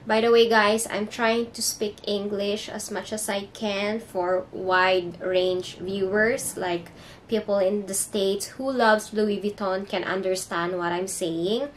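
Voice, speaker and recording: A woman's voice: medium pitch, fairly even intonation, slow pace, a little hiss or hum. A young woman with a Filipino accent, whose first language is Filipino, chatting casually in a haul vlog from her bedroom. She searches for English words and talks in a hesitant, chatty way. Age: young adult. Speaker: vlogger. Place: bedroom indoors.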